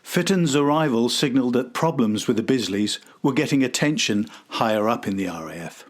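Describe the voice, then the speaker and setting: Male narrator, mid-pitched voice, scripted documentary delivery, host. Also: expressive, fairly quick.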